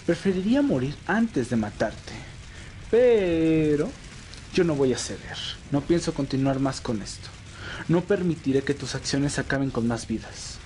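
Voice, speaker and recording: A man's voice: a little high, expressive, unhurried, warm tone. An earnest vampire played by a man pleads dramatically with his old friend in an audio drama. He is loyal and anguished, with faint noise behind him. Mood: defiant, pained. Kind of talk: amateur Spanish audio roleplay drama.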